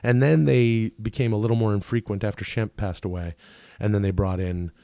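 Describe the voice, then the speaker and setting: Man, gravelly, voice low and worn today, rambling chatty guest, dry close mic. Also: fairly even intonation, a little fast, mellow, no echo. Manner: rambling, full of fillers and restarts. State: voice a bit low and rough today. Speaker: podcast guest, actor. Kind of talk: casual conversation.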